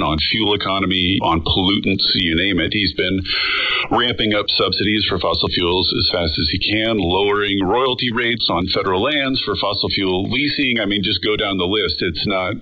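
Low-voiced man on muffled shortwave. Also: normal speed. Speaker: journalist, interview guest. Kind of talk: casual spoken political analysis. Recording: muffled shortwave radio capture.

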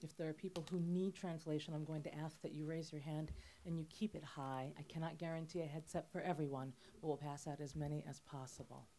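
A woman speaks into a handheld microphone in an auditorium, addressing a room full of students. She is friendly and organized, giving clear instructions to the crowd. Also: low voice, fairly even intonation, a little fast, mellow. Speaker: event host addressing student audience. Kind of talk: hosting a school event. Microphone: handheld mic.

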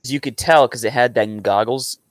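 A man's voice: mid-range voice, a little fast, dry recording. A young man chatting casually and earnestly in a rambling reaction at home. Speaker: reactor and amateur voice actor. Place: small room at home.

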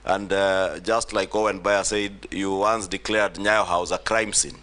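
Deep, bright-voiced Kenyan parliamentarian man. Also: steady tone, a little slow. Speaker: member of parliament questioning a nominee. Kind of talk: formal committee questioning. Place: large wood-panelled parliament chamber. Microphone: desk gooseneck microphone.

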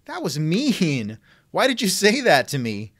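A man's voice: sits high, huge pitch swings, unhurried, fairly bright tone, dry recording, studio quality. A man hosting a conversational interview, asking questions in a wry, skeptical manner. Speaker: interviewer, host. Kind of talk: reenacted text-chat interview, conversational.

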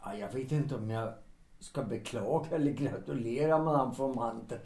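A man's voice: medium pitch, darkish tone, close to an everyday voice. Man playing a courteous, wry pianist, echoey room. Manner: courteous, formal, drily teasing. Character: polite, wry male café pianist. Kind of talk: Swedish radio drama dialogue.

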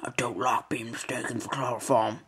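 A man's voice: mid-range voice, steady tone, a little fast, fairly bright tone, slight background noise, lightly acted. Man putting on a grumpy Southern old-timer. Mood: proud. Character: grumpy Southern old male chloroplast, proud, dismissive. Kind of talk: comic educational character sketch.

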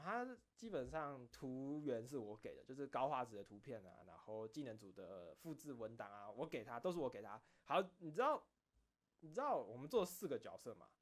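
A man talks casually, like a streamer alone with his audience. His pitch moves up and down a lot, giving the talk an animated feel. He rambles along in a self-deprecating manner at a middling pitch. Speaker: streamer and video creator. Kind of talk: casual solo chat, recounting behind-the-scenes stories.

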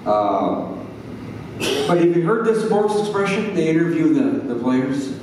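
An older man performs folksy, rambling stand-up at an unhurried pace. His voice is a little high and soft-edged. It rings around a very echoey room, picked up from a distance. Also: sing-song, background noise. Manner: rambling, folksy, checks in with the audience. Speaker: stand-up comedian at an open mic. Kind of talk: stand-up comedy. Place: small bar stage, indoors. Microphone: handheld mic through speakers, recorded by a far camera.

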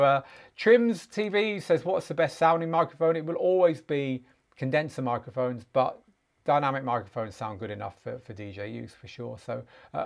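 Man, 40s, gravelly and a touch high-pitched, easygoing live-stream teacher, chatty with fillers. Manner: chatty, many "uh" fillers, friendly explaining. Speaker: DJ trainer answering live chat questions. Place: small home studio with speaker and acoustic panels.

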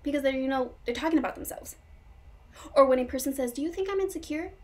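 A woman acting a whimsical, dreamy, high-voiced woman. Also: quick pace, lightly acted. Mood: amused, quirky. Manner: quirky, chatty, confiding, effusive. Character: whimsical, dreamy, melancholy woman, swinging between joy and sadness. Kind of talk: comedic stage monologue.

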